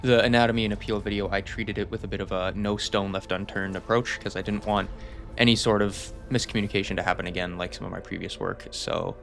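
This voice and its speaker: A man's voice: lowish voice, fairly even intonation, fast, creaky, a bit bright, a little hiss or hum. A young man giving a casual, rambling channel update, self-deprecating and reassuring. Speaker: channel host.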